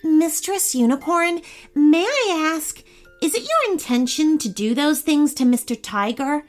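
A woman's voice: high voice, lively intonation, measured pace, faint music behind, pitched above the performer's own voice. A woman voicing a small, friendly, curious female pickle who speaks politely and earnestly in a children's story.

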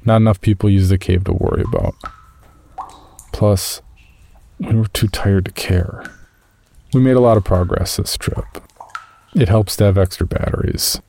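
A man's voice: sits low, very animated, normal speed, creaky, long pauses, dark tone, a little hiss or hum, heavily acted. A man playing an amateur caver, reading his uneasy journal as first-person horror story narration. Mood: measured, uneasy.

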